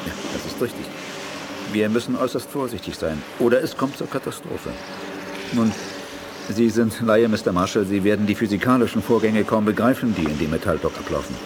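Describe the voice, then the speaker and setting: Guy acting a pompous professor in radio play, very animated, mid pitch, noisy lab behind. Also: brisk, close to an everyday voice. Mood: lecturing, condescending. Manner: lecturing, formal. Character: self-important male scientist, condescending, prone to panic. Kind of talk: 1980s German radio drama acting.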